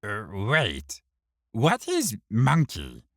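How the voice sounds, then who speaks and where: A tiny red imp from a fantasy audiobook, cheeky and stubborn. He grumbles in broken caveman grammar, dragging out his words while the pitch leaps far above a normal talking voice and swoops wildly. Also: huge pitch swings, very slow, no room sound, clean studio sound, exaggerated voice. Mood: indignant. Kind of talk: audiobook character voice.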